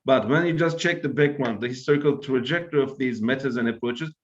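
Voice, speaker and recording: A man's voice: mid-range voice, quick pace, highs cut off. A man from Turkey with a Turkish accent, giving an academic lecture and often checking in with "right?". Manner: explanatory, tags points with "right?".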